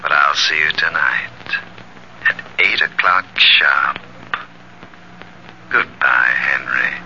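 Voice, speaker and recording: An actor playing a menacing male killer on the phone in an old-time radio thriller, speaking slowly and deliberately. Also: rumbling low, bright, forceful tone, full character voice. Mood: quietly menacing. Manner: slow, deliberate, threatening. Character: menacing male killer phoning his intended victim. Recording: muffled, noisy old radio recording.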